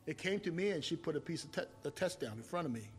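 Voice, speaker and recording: A man in his fifties, from Taiwan, preaching a sermon and telling his own story, rising to loud emphatic points and falling back to quiet, reflective storytelling. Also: fairly high pitch, lively intonation, fast, a little hiss or hum. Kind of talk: sermon with personal testimony.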